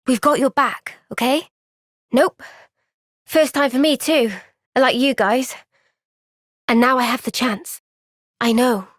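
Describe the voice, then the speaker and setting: High-voiced, gentle young heroine. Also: female voice, steady tone, slow pace, long pauses, dry recording, clean studio sound. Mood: sincere, steady. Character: earnest, gentle young female fantasy soldier heroine. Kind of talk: video game cutscene voice acting.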